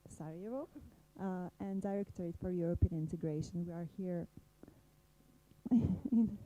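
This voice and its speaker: A woman's voice: lowish voice, moderate pace, warm tone, no echo, dull audio. A woman giving a brief, giggly self-introduction.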